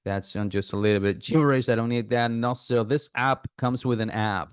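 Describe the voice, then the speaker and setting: A man talks through an app walkthrough in a loose, chatty way, often restarting his sentences. His voice is smooth and clear, with a mid-range pitch. The sound is close and dry, with no room echo. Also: steady tone, a little fast. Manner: chatty, thinks aloud with fillers and restarts. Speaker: app reviewer. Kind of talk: casual screen-recording walkthrough.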